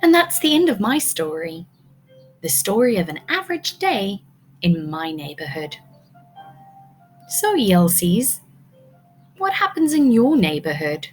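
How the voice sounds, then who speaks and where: A woman's voice: medium pitch, very animated, unhurried, long pauses, faint music behind. A woman teacher reading a picture book aloud to young children, expressively, prompting them with questions.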